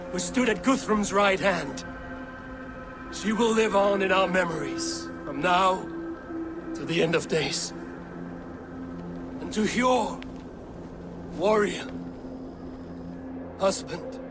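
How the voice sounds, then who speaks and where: Slow, solemn Viking warrior. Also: male voice, sits high. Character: stoic, battle-weary Viking warrior leader, male, adult. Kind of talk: dramatic video game acting.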